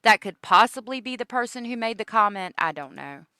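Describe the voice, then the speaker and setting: A woman's voice: fairly high pitch, animated, fairly quick, sharp tone, smooth, no echo, studio quality. A woman hosting a true-crime video, giving informal commentary on a case.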